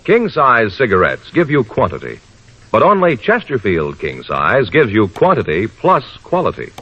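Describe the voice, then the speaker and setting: A man's voice: mid-range voice, wildly swooping pitch, normal speed, a bit bright, slightly rough. An American man announcing in scripted 1950s radio style, with an earnest, declarative delivery. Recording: dull vintage radio broadcast recording.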